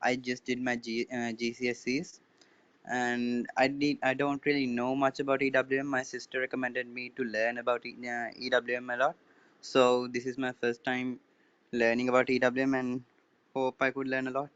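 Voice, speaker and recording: A man's voice: mid-range voice, completely flat delivery, normal speed, fairly bright tone, clean tone, dry recording. A young man from Sri Lanka introduces himself hesitantly and earnestly on a video call. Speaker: student. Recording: dry, muffled call audio.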